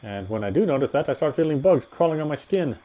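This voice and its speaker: A man's voice: on the high side, expressive, quick pace, dark tone. A man reading a horror visual novel aloud as a dramatic first-person narrator.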